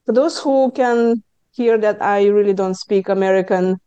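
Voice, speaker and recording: A woman's voice: medium pitch, moderate pace, clean tone, dry recording, lo-fi sound. A woman with a Lithuanian accent, a stress management coach telling her own story in an earnest, candid way on a podcast. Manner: earnest and candid, breaks into lively self-quotes. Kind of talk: personal story in a podcast interview.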